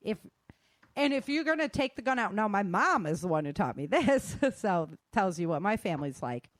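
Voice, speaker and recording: A woman with a mid-pitched voice talks casually, as a guest passing on practical advice. She is plain-spoken and leans on her key points. The sound is dry, with very little room in it. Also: very animated, normal speed, no room sound. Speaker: guest sharing self-defense advice.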